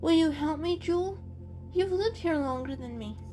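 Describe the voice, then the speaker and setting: Woman voicing a timid little boy kitten, high-pitched. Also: animated, moderate pace, warm tone, faint music behind. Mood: earnest, pleading. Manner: hesitant, wide-eyed, earnest. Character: young male kitten, small, round, timid and earnest. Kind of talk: dramatic children's story reading.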